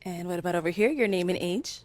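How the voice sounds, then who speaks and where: A woman hosting a dating show, asking brisk, upbeat questions and announcing guests. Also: on the low side.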